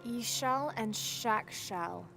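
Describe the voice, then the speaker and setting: A woman's voice: a little high, extremely slow, gravelly, music in the background, heavily acted, poor audio. An actress with an English accent playing a determined young female archaeologist in a video game, earnest and focused. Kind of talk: video game cutscene acting.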